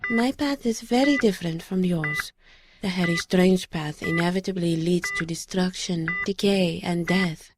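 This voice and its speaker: An actress playing a solemn, resolute woman of a mystic sisterhood in an audio drama, speaking gently in formal, deliberate phrases. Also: on the low side, lightly acted. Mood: tender, resolute. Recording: clearly noisy background.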